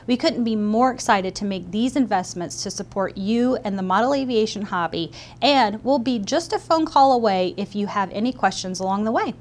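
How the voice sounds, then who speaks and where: A woman's voice: mid-range voice, expressive, moderate pace, few pauses, slight background noise. A woman co-hosting a hobby news show, reading scripted announcements and bantering in an upbeat, chatty way. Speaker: show co-host.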